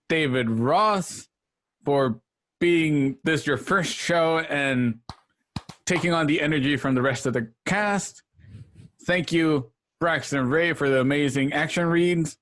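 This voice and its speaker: A man's voice: fairly high pitch, slow pace, a bit bright, slight background noise. A man hosting, rambling through thanks and show plugs in casual chat from home, laughing often. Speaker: show host. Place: home, over a video call. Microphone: home setup.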